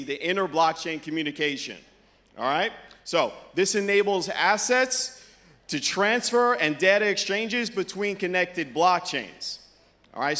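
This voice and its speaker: A man's voice: high-pitched, sharp tone. A middle-aged man presenting a tech keynote on a large conference stage with a handheld microphone, upbeat and saying "all right" between points. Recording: hall reverb from stage PA.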